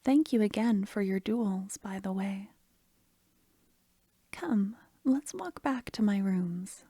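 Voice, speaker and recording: Casting: actress as devoted young court necromancer, fantasy audio roleplay, soft breathy mellow delivery, tender and unhurried. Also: female voice, mid-range voice, animated, no echo. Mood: gentle, fond. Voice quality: soft, breathy, half-whispered. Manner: tender, intimate, endearing. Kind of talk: softly spoken ASMR fantasy roleplay.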